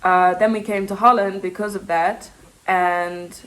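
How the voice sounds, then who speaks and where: A young woman with a South African accent that leans British, talking fast and rambling in a casual, confessional vlog, breaking off with laughs. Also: medium pitch, fairly even intonation, a bit bright, low-quality recording. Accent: South African English with a British touch. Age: young adult. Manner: fast, rambling, self-interrupting, laughs often. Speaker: vlogger. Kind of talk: casual confessional chat to camera.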